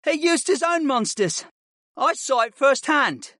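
An earnest, loyal schoolboy played by a man in a fantasy audiobook. The voice sits high and moves in a lively way, sincere and a little defensive. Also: a little slow, no room sound, clean studio sound, full character voice. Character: earnest, loyal young red-haired boy student. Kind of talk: audiobook character acting.

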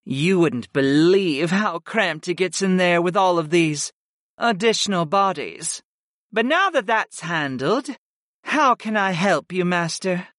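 Female succubus servant, acted by a narrator, high sighing purr, submissive and wounded, very lively pitch, fantasy audiobook. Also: takes it slowly, no echo, clean studio sound. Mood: purring, eager. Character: devoted, yearning female winged succubus servant.

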